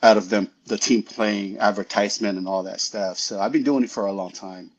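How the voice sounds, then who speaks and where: Low-voiced modest man, lo-fi sound. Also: steady tone, a little fast. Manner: modest, hesitant with fillers. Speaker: guest, tech-savvy team member. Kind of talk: casual livestream chat.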